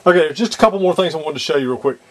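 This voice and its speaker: A man casually showing how to fit a model cockpit kit in a workshop, talking haltingly with many fillers and restarts. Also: a little high, expressive. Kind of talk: casual hands-on demonstration. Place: workshop, indoors.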